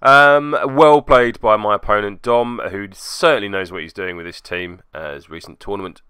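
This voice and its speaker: A man's voice: on the low side, fairly even intonation, normal speed, pushed and bright, clear, resonant tone, no room sound, studio quality. A man giving wry, self-deprecating voice-over commentary on a tabletop game, with joking asides, as its host.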